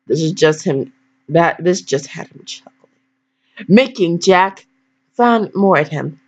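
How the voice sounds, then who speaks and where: A young female in her teens with a lowish voice that swings up and down in pitch. She reads aloud casually and keeps wandering into goofy, self-deprecating commentary. The recording is dull and lo-fi. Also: animated, slow. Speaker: fan fiction reader. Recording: dull lo-fi microphone.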